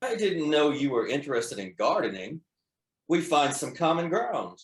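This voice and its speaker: A man's voice: on the high side, big pitch swings, normal speed. A man at a screenplay table read playing a playful, flamboyant man with droll, theatrical delivery. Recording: echoey room.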